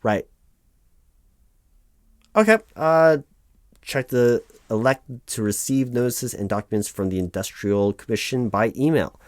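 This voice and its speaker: A man playing a patient, officious human resources officer, haltingly reading out form fields in a one-sided ASMR roleplay. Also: mid-range voice, lively intonation, a little mellow, no room sound, clean studio sound, lightly acted. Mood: businesslike. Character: officious, patient male HR officer doing paperwork. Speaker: human resources interviewer filling out forms.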